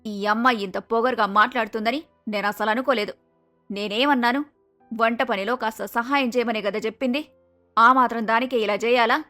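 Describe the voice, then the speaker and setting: High female voice, actress as middle-aged mother-in-law, traditional, reproachful, pleading, cartoon story dialogue, music behind. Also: fairly even intonation, brisk, sharp tone. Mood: dismayed. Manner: chiding, pleading. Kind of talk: animated moral story dialogue.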